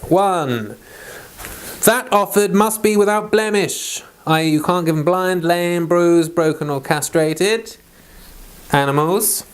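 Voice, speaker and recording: A man's voice: high voice, takes it slowly, smooth. A British man reading scripture aloud and explaining it informally, in a rambling, self-interrupting way. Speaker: Bible reader and teacher.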